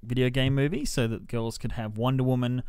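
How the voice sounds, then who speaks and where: A man giving casual, off-the-cuff film opinions on a podcast in an informal, measured way. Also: mid-range voice, fairly even intonation, darkish tone, clean tone, dry recording. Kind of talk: casual, off-the-cuff movie review chat.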